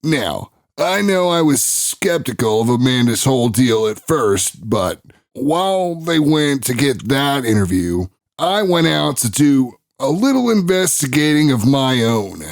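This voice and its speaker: A man playing a brash, skeptical radio show host in an audio drama, narrating in a drawn-out, wry, showy way. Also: mid-range voice, big pitch swings, dry recording.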